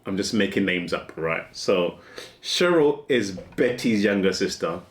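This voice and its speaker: Male vlogger, 40s, casual rambling delivery, very lively pitch, echoey room. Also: measured pace. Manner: rambling, restarts often, laughs at himself. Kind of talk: casual musing monologue.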